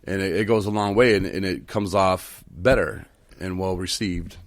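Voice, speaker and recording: Low-voiced man, teasing casual talk. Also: normal speed, no echo. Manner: teasing, playfully mock-bitter storyteller. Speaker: podcast co-host.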